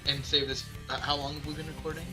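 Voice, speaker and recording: A man's voice: on the high side, little pitch movement, fast talker. A young man playing a game with friends, chatting casually and reading lines aloud. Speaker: player in a group let's play. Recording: clear music behind the voice.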